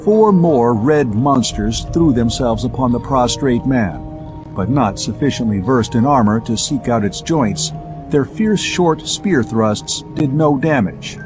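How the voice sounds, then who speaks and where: A man's voice: mid-range voice, lively intonation, measured pace. A man reading scripted pulp science fiction narration as an audiobook, with music playing behind him.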